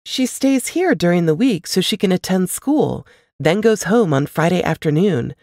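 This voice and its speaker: A woman voicing a practical, protective older sister in a novel reading, reassuring and gently bossy. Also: lowish voice, animated, moderate pace, dry recording, studio quality. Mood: chatty, caring.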